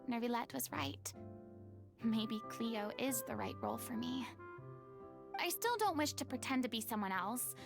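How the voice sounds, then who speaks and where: A woman's voice: high voice, creaky, heavily acted. A woman voicing a refined young former stage star in a video game, eloquent, formal and theatrical. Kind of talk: English video game voice acting. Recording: music playing behind the voice.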